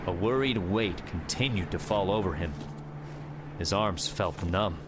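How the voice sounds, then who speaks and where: A man's voice: deep voice, a little slow, noisy background. A man reading a scripted adventure audiobook as a dramatic narrator.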